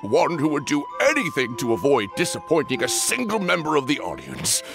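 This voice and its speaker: Wildly animated frog bandleader. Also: male voice, medium pitch, fairly quick, creaky, over music. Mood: earnest, theatrical. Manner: theatrical, exclamatory, dramatic swings. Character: excitable, demanding male frog bandleader. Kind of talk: video game voice acting.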